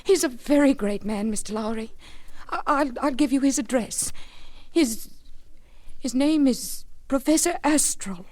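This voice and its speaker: A grieving, trusting mother in a vintage radio melodrama. Her voice is fairly high, with formal, earnest, genteel delivery. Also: a little slow, a little mellow. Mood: earnest, confiding. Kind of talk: 1940s radio drama acting.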